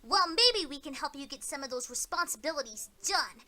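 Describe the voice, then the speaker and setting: A woman voicing a brash, confident, sporty female pony in a brisk manner. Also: sits high, lively intonation, pushed and bright, voice pushed up.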